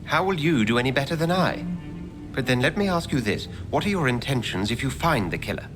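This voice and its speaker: A man plays a composed doctor in a game cutscene, formal and measured, keeping his secrets. His mid-pitched voice sits over clear background music. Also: animated, lightly acted. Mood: cautioning. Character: urbane, unflappable male doctor, secretive vampire investigator. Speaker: voice actor in a video game. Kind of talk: scripted game cutscene dialogue. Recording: music under the voice.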